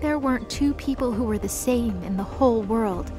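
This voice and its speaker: A woman's voice: fairly high pitch, normal speed, over music. A woman narrator reading a scripted fantasy story.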